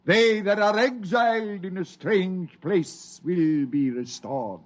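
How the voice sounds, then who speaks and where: Slow, oracular old desert preacher. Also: male voice, sits high. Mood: gentle, prophetic. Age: older. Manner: slow, oracular, biblical pronouncements. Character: gentle, fervent old wandering preacher, wild-haired eccentric. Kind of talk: mid-century American radio drama acting.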